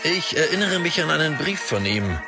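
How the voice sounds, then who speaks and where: A man's voice: on the high side, big pitch swings, moderate pace, gravelly. An actor playing a film director who reflectively reads his memoir in a radio drama. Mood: wistful. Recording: music playing behind.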